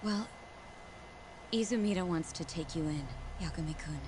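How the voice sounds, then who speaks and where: An actress playing an earnest, reserved prosecutor in a crime drama game, speaking in a measured, hesitant way. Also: female voice, on the low side, noisy background, close to an everyday voice. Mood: grave. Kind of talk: video game voice acting, conversational. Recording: music behind the voice.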